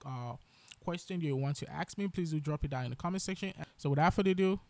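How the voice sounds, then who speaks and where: Guy doing a software tutorial voiceover, slightly high voice, mellow and dry, explains step by step. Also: fast talker, dry recording. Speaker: tutorial host.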